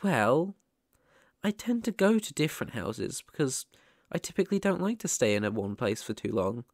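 A young British man voicing a shy, lonely but eager ghost in an ASMR roleplay, stammering, gentle and apologetic. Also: mid-range voice, big pitch swings, moderate pace, no echo, studio quality. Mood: shy, surprised.

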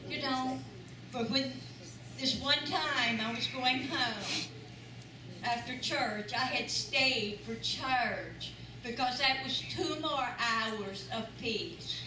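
Older woman, high-pitched, unhurried, emphatic church testimony, captured at a distance in a noisy sanctuary. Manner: earnest, emphatic repetition. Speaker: church member giving testimony. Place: large church sanctuary with audience. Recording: echoey sanctuary, voice from far off, noisy.

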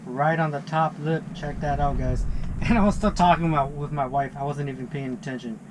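A man's voice: a little high, fairly quick, background noise. Laid-back man vlogging in an ice shanty. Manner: laid-back, chatty, frequent "dude" and "man". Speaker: fishing vlogger. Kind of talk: casual vlog talk to camera. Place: small pop-up ice fishing shanty on a frozen lake. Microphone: action camera mounted inside the shanty. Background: background music.

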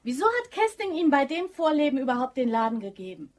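A woman's voice: high voice, sing-song, fast. An actress playing a hesitant, fond, easily alarmed female bookkeeper in a naturalistic German crime radio drama. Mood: defensive. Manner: naturalistic, questioning, often dropping to a hushed voice.

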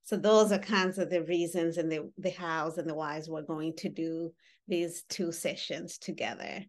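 A woman's voice: low voice, measured pace. A woman in her thirties, who grew up in Uganda, leading an online workshop on a video call and talking directly to the participants. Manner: animated, gestures while speaking, engages participants directly. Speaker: workshop facilitator. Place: home room with wall baskets. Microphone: webcam on a video call.

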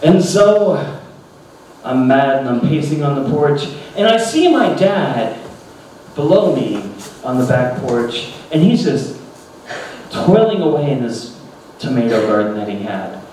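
A man in his thirties tells a childhood story on a small comedy club stage, taking his time over each beat. His voice swings widely in pitch when he acts out his angry younger self. Heard from a distance through a very roomy sound, with audience laughter and club noise clearly behind him. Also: measured pace. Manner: animated, ranting bursts when acting out his younger self. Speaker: stand-up comedian. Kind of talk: stand-up storytelling. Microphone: handheld mic. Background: audience laughter and room noise.

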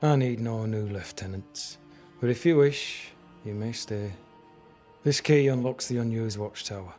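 Man acting slow, low-voiced, despairing fort captain. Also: dark tone, faint music behind, natural voice. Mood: dry scorn. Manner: slow, grim, doom-laden formal speech. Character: despairing, fatalistic male fort captain, bitter and grandiose. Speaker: military officer character in a video game. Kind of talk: dramatic video game acting.

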